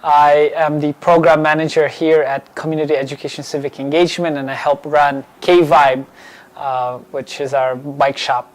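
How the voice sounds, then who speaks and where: Brief: man from Hawaii, program manager, fairly high voice, halting delivery with many fillers, recorded on site in a bike shop. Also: steady tone. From Hawaii, born in the Philippines. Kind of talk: spoken welcome and introduction.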